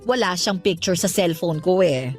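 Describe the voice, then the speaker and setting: A woman's voice: on the low side, lively intonation, moderate pace, faint music behind. An actress playing a sassy, lovestruck older mother in a radio drama, with a Filipino accent and playful, campy teasing. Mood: hesitant, coy. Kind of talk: Tagalog radio drama acting.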